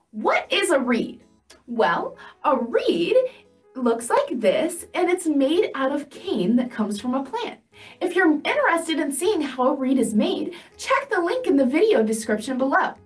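Young woman, high voice, lively pitch, patient beginner lesson, a bit distant from the mic, faint music behind. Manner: patient, explains slowly, holds up examples. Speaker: music teacher. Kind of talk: instructional demo for beginners. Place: studio set with banner backdrop, indoors.

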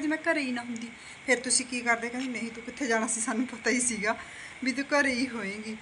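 A woman's voice: fairly high pitch, pushed and bright, a little hiss or hum. Chatty Punjabi woman vlogging at home. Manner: chatty, with frequent tag questions. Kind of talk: casual chat to camera. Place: home, indoors. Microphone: phone held at arm's length.

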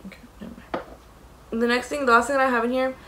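A young woman with a raspy voice, chatting casually as she shows the contents of a bag to the camera in a small room, rambling with many fillers. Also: on the high side, little pitch movement, fast, bright, forceful tone. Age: young adult. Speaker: mom vlogger. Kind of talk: casual show-and-tell vlog. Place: small indoor room against a plain wall.

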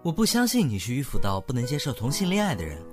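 A man's voice: medium pitch, wildly swooping pitch, fast, music in the background. A man acting an unflappable, blunt young man who counsels and teases a friend in a Mandarin radio drama, matter-of-fact in manner. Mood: earnest, advising. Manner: unfazed, matter-of-fact, teasing.

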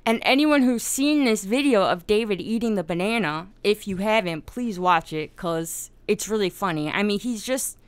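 Woman, podcast host, casual, profane, animated, smooth voice, dry close mic. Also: medium pitch, normal speed, hardly any pauses, no room sound. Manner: animated, swears often, laughs while telling story. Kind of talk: casual live-stream discussion.

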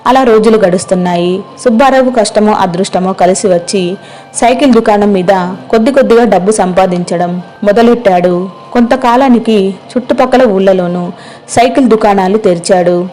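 A woman narrates a scripted moral story. Her voice is dark and soft-edged, at a medium pitch for a woman. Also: normal speed, low-quality recording.

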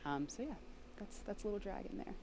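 A woman's voice: mid-range voice, animated, fast talker, a crowd in the background. A young woman on a launch broadcast, explaining how the spacecraft works from a studio desk, with fillers like "uh" and "like".

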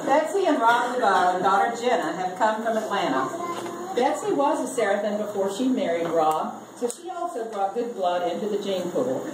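A woman's voice: mid-range voice, sing-song, normal speed, distant mic. An older woman reading a comic text aloud to a family gathering in an amused manner. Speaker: reader at a family reunion. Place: open garage full of seated relatives. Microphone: handheld camera a few meters away. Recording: roomy, noisy garage from off camera mic.

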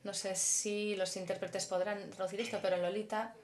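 Woman interpreting a live talk in measured phrases. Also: mid-range voice, flat delivery. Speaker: simultaneous interpreter.

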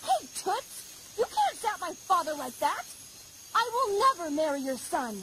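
High-voiced, spirited ogre princess. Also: female voice, sing-song, measured pace, fairly bright tone, a little hiss or hum, full character voice, low-quality recording. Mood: defiant.

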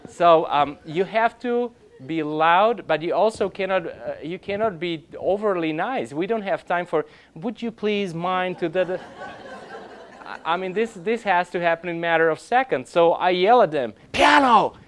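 A man in his thirties, an orchestra conductor, giving an informal lecture in a classroom, halting and restarting often but growing animated, recorded by a camera across the room. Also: high voice, slight background noise. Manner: halting, many restarts and fillers, grows animated. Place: classroom with projector.

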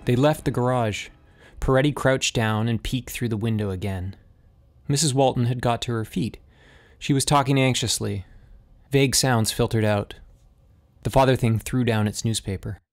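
A man's voice: medium pitch, moderate pace. A man reading scripted narration of a suspense story as an audiobook narrator.

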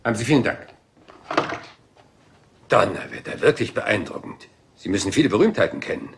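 A rumpled cop who keeps apologizing and asking one more thing, lower voice that goes up and down a lot. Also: male voice, animated, fairly quick, a little hiss or hum. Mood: musing. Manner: hesitant, apologetic, rambling questions. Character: rumpled humble male police inspector, persistent. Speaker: police inspector. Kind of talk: German dubbed crime radio drama.

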